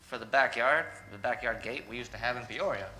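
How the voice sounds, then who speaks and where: An actor playing a stubborn, self-important, bumbling husband in old-time radio comedy, blustering and defensive. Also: male voice, medium pitch, fairly quick, a crowd in the background, natural voice. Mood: self-satisfied. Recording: noisy recording.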